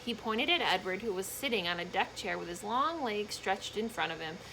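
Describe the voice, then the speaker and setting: A woman reading a children's book aloud in an expressive, emphatic storytelling manner, with short explanatory asides for young listeners. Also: mid-range voice, moderate pace, creaky, background noise.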